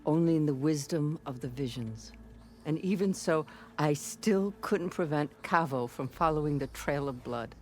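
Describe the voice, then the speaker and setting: Woman doing old grandma chaplain of a warrior tribe, solemn n measured, video game drama, faint music under it. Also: sits low, lightly acted. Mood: sorrowful, solemn. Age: older. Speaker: game character actor. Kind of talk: dramatic game cutscene acting. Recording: music behind the voice.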